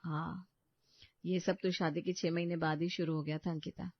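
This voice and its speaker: A woman's voice: lowish voice, level tone, moderate pace, no room sound, dull audio. A woman voicing a serious, weary young married sister-in-law in a cartoon story, troubled and questioning. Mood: weary, sad.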